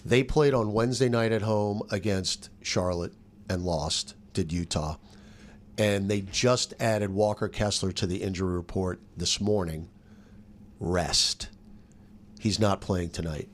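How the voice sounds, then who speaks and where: A man's voice: low voice, measured pace, a little hiss or hum. A man who works as a sports radio announcer, chatting casually in a roundtable with emphatic, punchy remarks.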